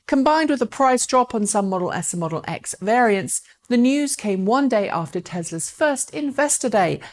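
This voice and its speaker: A woman's voice: medium pitch, a little mellow. A woman presenting electric car news as scripted narration, speaking articulately and directly to viewers.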